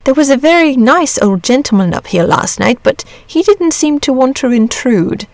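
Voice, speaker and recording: A woman's voice: sits high, expressive, moderate pace, a little mellow, dry recording, voice pushed up. A woman voicing an amiable, lazy, bashful male dragon from a storybook, chatty, rambling and genteel. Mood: eager, friendly. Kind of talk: storybook character reading.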